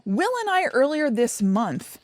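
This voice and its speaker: A woman's voice: on the high side, big pitch swings, measured pace, dry recording. A woman with an American accent co-hosting a podcast, chatting casually and agreeably about film in an upbeat way. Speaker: podcast co-host.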